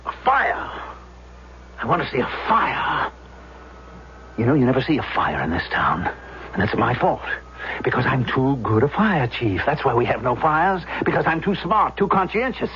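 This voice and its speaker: Deranged fire chief, obsessive, rhapsodic, lively swinging pitch, vintage radio drama, faint noise. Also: male voice, normal speed, pauses often. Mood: agitated, bitter. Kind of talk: 1970s radio drama acting. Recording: noisy, muffled vintage radio recording.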